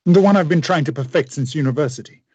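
An actor in a radio play playing an obsessive, idealistic scientist with a husky voice and an intense, grandiose, formal manner. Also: male voice, a little high, quick pace, darkish tone, dry recording. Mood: fervent, persuasive. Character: obsessive, idealistic male scientist with a vengeful streak.

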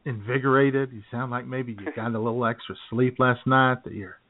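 American guy, radio cohost, casual jokey chat, average pitch, sounds tinny and band-limited. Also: steady tone, normal speed. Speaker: radio show co-host. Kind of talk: casual comedic banter.

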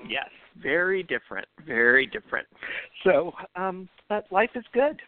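A man's voice: sits high, big pitch swings, moderate pace, no room sound. A middle-aged man with a Texan accent chatting casually as a guest, hesitant, wry and good-humored. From Texas, USA. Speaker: guest panelist, deacon and rootworker. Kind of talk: casual chat. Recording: tinny phone line.

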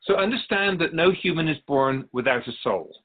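A man playing a wise, patient otherworldly spirit in a radio drama, explaining things in a measured, gently pedantic way with a husky voice. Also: fairly high pitch, animated, narrow, tinny audio. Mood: patient, knowing. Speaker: spirit being interviewed. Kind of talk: scripted radio drama dialogue.

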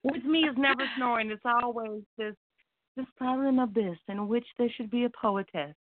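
A woman's voice: fairly high pitch, moderate pace, no room sound. A woman calling in as a poet, bantering casually in a wry, theatrical way with emphatic repetition. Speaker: caller and poet. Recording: narrow, dry phone line.

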